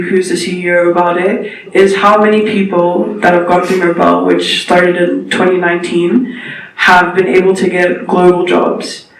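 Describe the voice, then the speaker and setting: A young man from South Africa speaks in a fairly high but flat voice, delivering an earnest presentation and slowing down to stress key points. He sits a little way from the mic in an echoey room, with a faint ambient hum behind him. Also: distant mic. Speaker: intern giving a presentation. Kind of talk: informal talk at a panel event.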